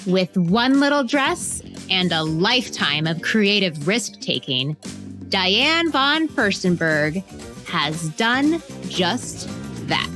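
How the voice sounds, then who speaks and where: A woman reads a scripted story as a podcast narrator. Her delivery is lively and varied in pitch, taking its time and leaning deliberately on key words. Music plays behind her. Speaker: narrator and host of a children's biography podcast.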